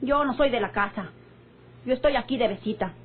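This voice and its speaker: Prickly, husky young Mexican country woman. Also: on the high side, brisk. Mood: curt. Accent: rural Mexican. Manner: tart, curt. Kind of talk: old-time radio drama acting. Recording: muffled old radio recording.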